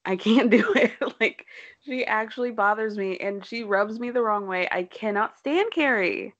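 A woman's voice: medium pitch, normal speed, fairly bright tone. Blunt young woman, slightly muffled. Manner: exasperated, blunt, laughs often. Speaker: podcast co-host. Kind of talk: casual chat.

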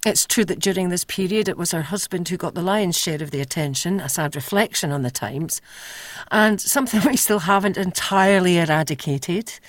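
A woman offers scripted commentary for a documentary. Her voice sits a little low, recorded dry and close. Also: brisk, no echo. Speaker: actress commenting as documentary contributor.

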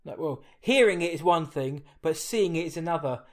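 Host: Essex man, a touch high-voiced, chatty, rambles and corrects himself mid-question. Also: expressive, moderate pace. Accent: Essex English. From England. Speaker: podcast host. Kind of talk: casual interview chat.

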